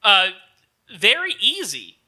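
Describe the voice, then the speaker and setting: A man's voice: high-pitched, huge pitch swings, slow, sharp tone, husky. A man co-hosting a comedy show in casual banter, stammering and repeating the starts of his sentences. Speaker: co-host of a local comedy show.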